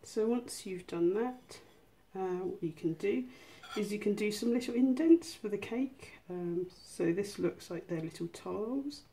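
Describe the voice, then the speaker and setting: A woman's voice: mid-range voice, lively intonation, a little slow, few pauses, mellow. A woman hosting a casual home cooking tutorial, explaining each step in an informal, chatty way. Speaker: host of a miniature cooking channel.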